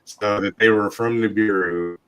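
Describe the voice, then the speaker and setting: A man hosting a podcast in casual chat, his voice hoarse and scratchy from a long night of talking. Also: lowish voice, steady tone, normal speed, fairly bright tone. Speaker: podcast host.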